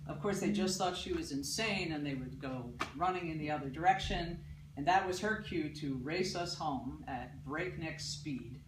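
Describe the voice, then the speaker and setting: A woman from Paterson, New Jersey, loudly telling a personal story on stage to a live audience. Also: deep voice, normal speed, background noise. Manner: loud. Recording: roomy, distant mic, audible ambience.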